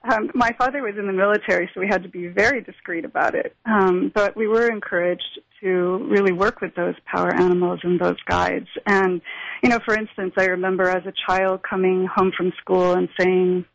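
A woman who is a spiritual practitioner and teacher, answering interview questions thoughtfully, with many pauses and restarts. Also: lowish voice, fairly even intonation, creaky, rough recording. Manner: thoughtful, with frequent fillers and restarts.

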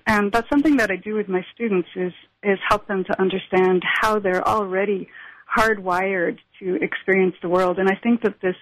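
A woman who is a spiritual practitioner and teacher, answering interview questions thoughtfully, with many pauses and restarts. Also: medium pitch, creaky, low-quality recording. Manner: thoughtful, with frequent fillers and restarts.

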